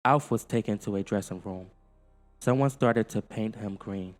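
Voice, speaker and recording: A man's voice: lowish voice, normal speed, in a large hall. A man reading a scripted children's story as its storyteller.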